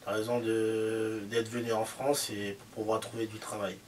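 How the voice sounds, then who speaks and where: A man's voice: lowish voice, flat delivery, normal speed. A man in his late twenties whose first language is Creole, giving short, plain interview answers. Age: late 20s. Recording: distant mic with background noise.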